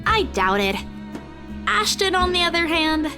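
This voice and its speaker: Man doing a high, swooping anxious young woman. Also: sing-song, measured pace, heavily acted. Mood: sheepish, teasing. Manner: hesitant, apologetic. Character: Bella, anxious apologetic young woman on the phone. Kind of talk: visual novel read-aloud, character dialogue. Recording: background guitar music over the voice.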